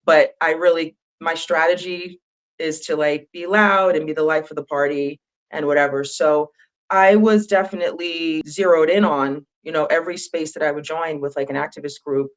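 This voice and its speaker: A woman speaking as a panelist on an online call, talking into a phone from the floor of an animal rescue, with a loud, bold voice and candid, tearful manner. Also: deep voice, fairly even intonation, moderate pace, poor audio. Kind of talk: emotional panel talk. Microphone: phone clipped to a dog crate.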